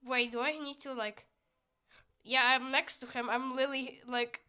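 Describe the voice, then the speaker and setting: High, youthful-voiced woman gaming. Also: little pitch movement, moderate pace, bright, forceful tone, smooth. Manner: chatty and goofy, with squealing outbursts. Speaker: gameplay streamer. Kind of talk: casual gameplay commentary, reading game dialogue aloud.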